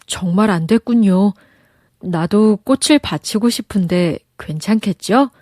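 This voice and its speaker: A woman's voice: mid-range voice, unhurried, darkish tone, no echo, studio quality, close to an everyday voice. A woman voicing a courteous, earnest young prince in a storybook, speaking politely and formally. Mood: concerned. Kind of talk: storybook character voice.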